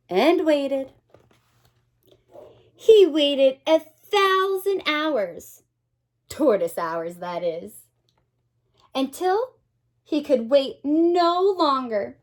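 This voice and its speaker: Very animated, high-pitched woman reading a story. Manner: animated, dramatic pauses, gasps and asides to young listeners. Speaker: teacher reading a picture book to children. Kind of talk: expressive children's story read-aloud.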